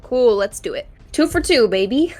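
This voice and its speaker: A woman's voice: a little high, expressive, normal speed, a little mellow, soft background music. A woman playing a tabletop fantasy game, casually calling out her attacks.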